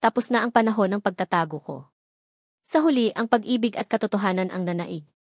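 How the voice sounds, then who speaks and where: A woman's voice: mid-range voice, fairly quick, no echo, clean studio sound. A woman reading a scripted first-person romance story as narrator, in a dramatic storytelling manner.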